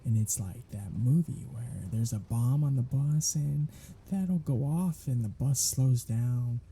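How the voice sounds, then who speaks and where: A man's voice: medium pitch, unhurried, mellow, slight background noise, lightly acted. A man voicing a sardonic, flirtatious male vampire held captive on a road trip, in an ASMR audio drama. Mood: teasing. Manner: teasing, sardonic, flirtatious banter.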